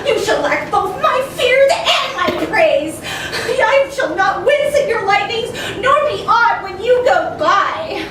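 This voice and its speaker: A man plays a cowering housemaid in an extremely high, shrill voice. She is overwrought and fearful in the style of campy stage melodrama, heard from far off in a very echoey hall with audience noise. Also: lively intonation, slow, few pauses, full character voice. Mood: defiant grief. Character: fearful, pleading, downtrodden female housemaid. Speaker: actor in a staged reading. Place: live venue with audience.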